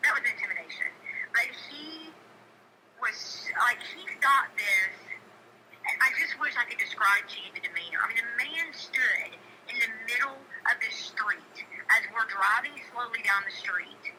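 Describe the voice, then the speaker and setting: A woman's voice: mid-range voice, pushed and bright. A middle-aged woman talking casually on a phone call, insistent and emphatic, repeating phrases for stress. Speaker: local neighbor caller. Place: telephone call. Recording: noisy phone call recording.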